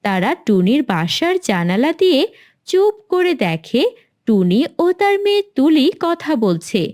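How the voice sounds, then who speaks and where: A woman's voice: high-pitched, big pitch swings, measured pace, a little mellow. A woman narrating a scripted children's cartoon story as its storyteller.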